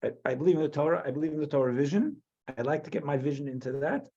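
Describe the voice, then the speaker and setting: Halting American rabbi, dull lo-fi sound. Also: male voice, a little high, lively intonation, dark tone, breathy edge. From New Jersey, USA. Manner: halting, many restarts and repeated words. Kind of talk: teaching a Torah class.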